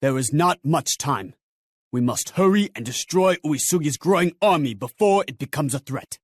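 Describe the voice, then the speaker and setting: A man's voice: a little high, expressive, normal speed, husky, no room sound, studio quality. A man playing a loyal, proud young samurai general in a game cutscene, speaking formally and solemnly with command. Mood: grave, urgent. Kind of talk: dramatic game cutscene acting.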